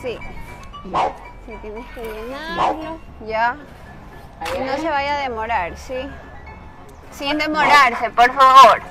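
A woman's voice: high voice, takes it slowly, long pauses, over loud music. A young woman hosting a street prank, coaxing and playfully bossing around people who help her. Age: young adult. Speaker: prank video host. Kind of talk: hidden-camera street prank. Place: outdoor street construction site.

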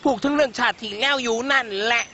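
A man's voice: extremely high pitch, fast talker, sharp tone, slight background noise. A man voicing an exasperated, scolding father with a Chinese accent in his Thai, in a children's cartoon. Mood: exasperated complaint. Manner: fretful, scolding, bewildered. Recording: muffled audio with music behind.

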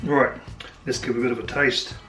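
A man in his forties with a New Zealand accent, a little hoarse, chatting casually as he cooks and tastes food in his home kitchen. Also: mid-range voice, normal speed, faint music behind. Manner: relaxed, chatty, thinking aloud with fillers. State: slightly hoarse, losing his voice. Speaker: home cook vlogger. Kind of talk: casual cooking commentary.

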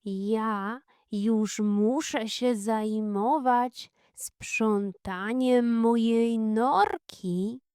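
A woman voicing a modest, tidy, good-natured male badger neighbour in a children's audio fairy tale, unhurried and plain-spoken. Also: on the high side, steady tone, a little mellow, clean tone, no echo, clean studio sound.

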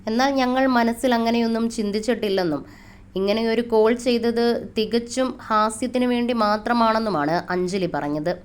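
Lady doing a scripted voiceover for entertainment news, mid pitched voice. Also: smooth. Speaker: news narrator.

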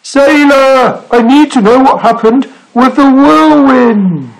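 Guy doing a fussy little furry storybook creature, high plaintive insistent voice, up and down a lot. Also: big pitch swings, measured pace, low-quality recording. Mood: pleading, urgent.

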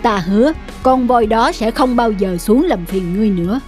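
A woman plays a stately, generous cartoon deity. The voice is somewhat high, with a formal, solemn calm, over faint music. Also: normal speed, lightly acted. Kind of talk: animated folk-tale cartoon dubbing.

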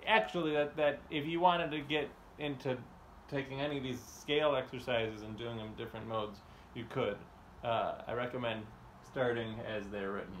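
A man in his thirties giving a casual music lesson at home, talking in a relaxed, chatty way with fillers and chuckles as he thinks aloud. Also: medium pitch, moderate pace, a little hiss or hum, poor audio. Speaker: music teacher. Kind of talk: casual practice lesson between playing. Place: home room with bookshelves, indoors. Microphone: phone or camera on a stand nearby. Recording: home room recording with loud marimba. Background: marimba playing.

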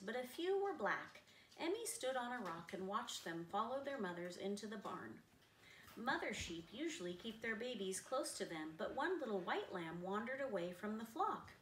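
A middle-aged woman reads a children's picture book aloud in an expressive storyteller's manner. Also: mid-range voice. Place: living room, seated on a sofa. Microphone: camera a few metres away. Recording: roomy, distant camera mic, faint noise.

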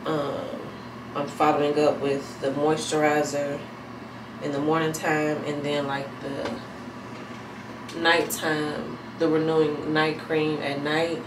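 A pregnant woman in her thirties chatting casually to camera at home, thinking aloud in a relaxed, rambling way. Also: deep voice. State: heavily pregnant. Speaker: vlogger. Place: small bathroom at home, indoors. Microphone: camera mic a few feet away. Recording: echoey room, distant mic, air conditioner hum.

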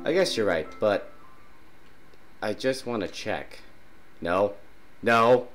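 A man's voice: on the low side, slow pace. A young man with a sinus infection and sore throat, casually commenting on a game and reading its dialogue aloud. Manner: clears throat often, reads dialogue in a flat voice. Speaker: gaming YouTuber. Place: small dark room at home. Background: loud game music.

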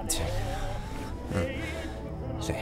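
An actor playing a drunk, feverishly obsessed archaeologist in an audio drama, erratic and insistent. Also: male voice, extremely slow, a little mellow, faint music behind. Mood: pleading. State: acting drunk.

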